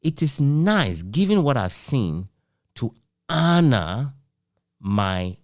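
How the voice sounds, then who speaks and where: A man's voice: medium pitch, big pitch swings, slow, darkish tone, no echo, studio quality. A middle-aged man, interviewing conversationally, emphatic and repeating phrases as he checks the listener follows. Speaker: interviewer, author.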